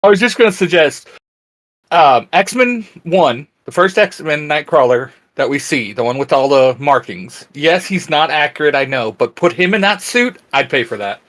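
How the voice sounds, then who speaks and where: A man's voice: a little high, animated, normal speed, a bit bright. A man chatting casually as a panelist in a geeky discussion.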